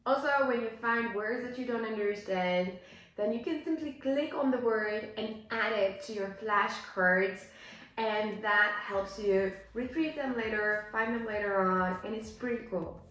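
A woman's voice: on the high side, a little slow, fairly bright tone. A young woman teaching English to learners, reading aloud and explaining in simple, careful phrases with little check questions. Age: young adult. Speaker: English teacher and video host. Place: small bare indoor room. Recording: echoey bare room, music behind.

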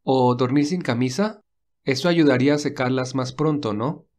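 A man voicing a caring young male lead in a read-aloud romance, speaking softly with light teasing. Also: mid-range voice, moderate pace, lightly acted. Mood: gentle.

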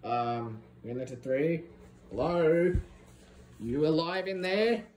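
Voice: slow pace, darkish tone, slight background noise. A person with an Australian accent filming and teasing a sibling in casual, bossy banter. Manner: mocking, bossy, jumps between high and low. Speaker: vlogger filming a family skit. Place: small bedroom with blinds and a gaming desk. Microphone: handheld phone. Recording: echoey bedroom on a phone.

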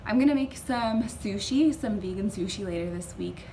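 A young woman in her twenties talks through her groceries in a chatty, upbeat way, dropping plenty of "um"s and "like"s. Her voice sits at an ordinary middle pitch. A clear hum of room noise runs under her, picked up by a camera set close on the table at home. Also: moderate pace, mellow. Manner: chatty, upbeat, lots of fillers. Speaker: vlogger. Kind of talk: casual grocery haul chat. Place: small indoor room at home.

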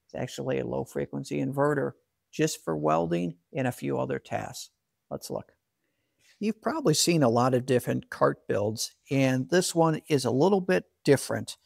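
A man in his forties with a gravelly, mid-pitched voice walks through his equipment in a casual, practical way, with a few fillers and restarts. He is recorded close and dry in a garage workshop. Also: animated, moderate pace, dry recording. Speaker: solar equipment channel host. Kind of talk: casual explainer, talking to camera.

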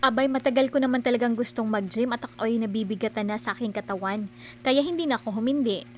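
A woman's voice: a little high, fast talker, darkish tone, a little hiss or hum. Chatty woman narrating a vlog. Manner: chatty, earnest and encouraging. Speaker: vlogger. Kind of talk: voice-over narration.

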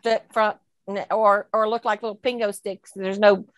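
A woman's voice: mid-range voice, little pitch movement, normal speed, no room sound. A woman on a video call panel, talking casually and thinking aloud with rhetorical questions about dog breeding.

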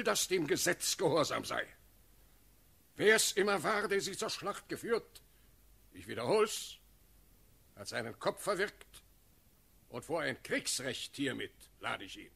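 An actor playing a stern, authoritative Elector in a classical radio drama, declaiming with a husky, creaky voice. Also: male voice, a little high, big pitch swings, measured pace, pauses often, pushed and bright, exaggerated voice. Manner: declamatory, authoritative verse delivery. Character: stern, commanding male ruler, an Elector passing judgment.

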